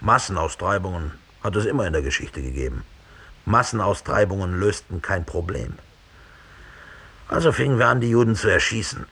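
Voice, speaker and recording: Low, husky, coldly rational Nazi. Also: male voice, expressive, normal speed, fairly bright tone, heavily acted. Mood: cold, matter-of-fact. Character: unrepentant German Nazi perpetrator, coldly confessing, smugly rational. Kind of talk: mid-century radio drama monologue.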